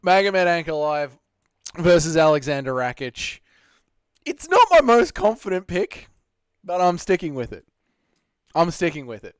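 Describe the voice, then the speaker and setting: Excitable Australian man streaming live. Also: a little high, unhurried, pauses often, clean tone, no echo. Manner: excitable, swears, slangy banter. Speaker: streamer host. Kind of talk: live stream chat with viewers.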